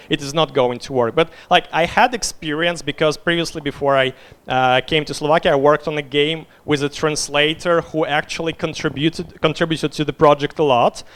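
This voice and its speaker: A man's voice: a little high, fairly bright tone. A young man whose first language is Russian, answering audience questions after a conference talk in a loose manner full of fillers. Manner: loose, filler-heavy, leans on "like". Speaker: conference speaker.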